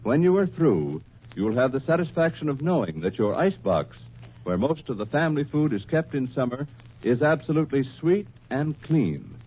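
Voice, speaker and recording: An American man announces an old-time radio advertisement in measured, formal phrases. His mid-pitched voice is very animated and a little rough. It comes through thin and narrow, like a telephone line. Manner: measured, formal sales delivery.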